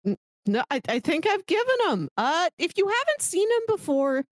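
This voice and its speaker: A woman co-hosting a podcast, chatting casually about movies in an animated storytelling way. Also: extremely high pitch, moderate pace, dry recording, studio quality. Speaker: podcast co-host.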